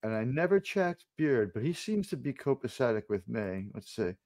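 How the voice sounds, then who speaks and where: A man hosting a casual online watch party, loud and joking, cursing freely as he talks, on a desk mic at home. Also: medium pitch, very animated, normal speed, no room sound, clean studio sound. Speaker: streamer host.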